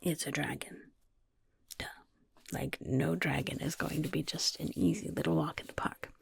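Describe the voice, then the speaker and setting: A woman's voice: deep voice, normal speed, no room sound, clean studio sound, heavily acted. A woman playing a chatty, roguish goblin guild member in a whispered ASMR fantasy roleplay, with casual, conspiratorial delivery and wry asides. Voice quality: breathy, whispery.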